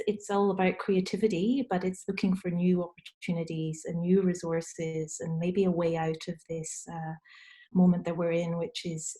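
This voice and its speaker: A woman moderating an online panel, speaking in a measured way with pauses mid-phrase. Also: fairly low pitch, dark tone. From Scotland or Denmark by descent, living in Australia. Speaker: panel moderator, arts manager. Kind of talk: event introduction and moderation.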